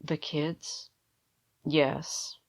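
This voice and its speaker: Woman acting tired nervous hostess in a radio drama, takes her time, bit of fry in the voice, halting and careful. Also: deep voice, flat delivery, no echo, studio quality, full character voice. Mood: weary, haunted. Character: tense, weary woman hosting guests in a dangerous world.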